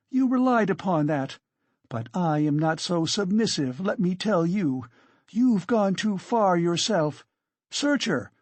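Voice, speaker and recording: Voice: medium pitch, a little mellow, no echo, voice pushed up. A narrator voicing a hysterical, fiercely proud and indignant poor woman in a dramatic audiobook reading. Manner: shrieking, frantic, exclamatory.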